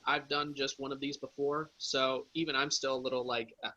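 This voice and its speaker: A man's voice: mid-range voice, flat delivery, brisk, dull audio, noisy background. A man from Pennsylvania casually introducing himself with rambling fillers over a video call. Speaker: game player.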